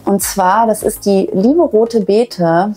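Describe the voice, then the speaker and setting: A woman's voice: mid-range voice, slow, dark tone, faint music behind, low-quality recording. Chatty woman vlogging in a kitchen. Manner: chatty, upbeat, gesturing with her hands. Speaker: raw food vlogger. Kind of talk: casual to-camera explanation. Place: bright home kitchen. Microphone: lapel mic.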